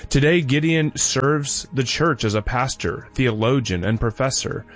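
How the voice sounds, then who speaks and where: A man, a podcast host, reading a scripted intro and announcements in a measured way. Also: mid-range voice, faint music behind.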